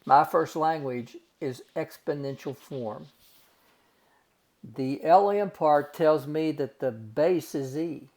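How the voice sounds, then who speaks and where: A man's voice: on the high side, slow pace, darkish tone. A man teaching a recorded math lesson, working through calculations step by step in a folksy way and calling his students guys and gang.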